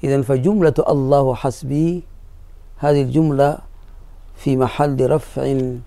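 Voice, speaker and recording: Mellow-voiced man teaching grammar. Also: medium pitch, steady tone, unhurried, no echo. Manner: patient, repeats key phrases, asks students if they follow. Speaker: sheikh teaching Arabic grammar. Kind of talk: classroom explanation of a grammar poem.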